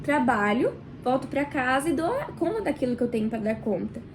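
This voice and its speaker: A woman with a Brazilian Portuguese accent hosts a book club video in her small kitchen, chatting warmly and directly to the viewer, nasal and stuffed up from a cold. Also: high voice, lively intonation, a little hiss or hum. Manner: warm, chatty, addresses viewer directly with pauses. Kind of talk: casual devotional reading vlog.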